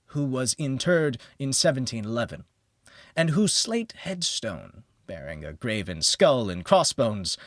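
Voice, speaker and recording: Guy reading an old gothic horror story, really dramatic, slow with big swoops in pitch, dry close sound. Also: fairly bright tone, dry recording. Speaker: audiobook narrator. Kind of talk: dramatic first-person gothic horror audiobook narration.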